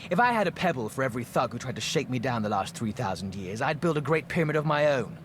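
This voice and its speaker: A man's voice: medium pitch, sing-song, fast talker, natural voice. An actor playing a wry, ancient undead businessman in a video game, with a dry, sardonic manner. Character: wry three-thousand-year-old undead male businessman in fedora. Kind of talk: dramatic video game voice acting. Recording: clear background noise.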